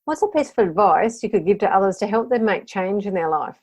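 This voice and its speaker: A woman's voice: medium pitch, lively intonation, fairly quick, darkish tone, husky. A woman interviewer chatting casually, asking short questions and responding in an encouraging, affirming way. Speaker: interviewer, host. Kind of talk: casual, upbeat interview chat.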